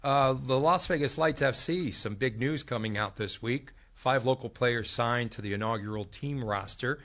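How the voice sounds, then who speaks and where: A man's voice: medium pitch, moderate pace, a bit bright. A man with an American accent hosts a sports panel show. He runs through results and news items in a mid-range voice and tosses questions to the others.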